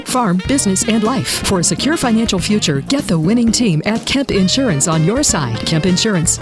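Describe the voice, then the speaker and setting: An announcer with a medium-pitched voice reads a scripted advert. Guitar music plays clearly behind the voice. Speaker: advert voice-over. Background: prominent guitar music.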